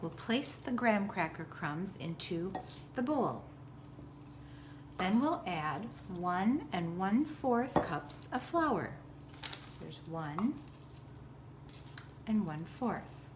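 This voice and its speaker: A woman giving a step-by-step cooking demonstration like a teacher, with simple, clear directions. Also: medium pitch, very animated, slow, long pauses, noisy background. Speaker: school teacher presenting a recipe.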